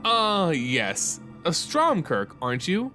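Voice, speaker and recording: A man's voice: fairly high pitch, wildly swooping pitch, takes it slowly, music in the background, exaggerated voice. A man voicing a menacing, smug aristocratic vampire lady with a cool, probing, mock-polite manner, in a fantasy story reading. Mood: cool menace.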